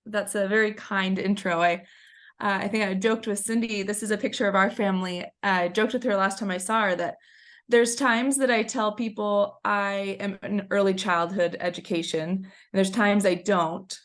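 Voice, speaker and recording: A woman's voice: mid-range voice, steady tone, normal speed, a bit bright. A woman in her thirties presenting a webinar in a chatty storytelling way, a little scratchy from a cold. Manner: chatty, storytelling, frequent ums. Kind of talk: conversational presentation.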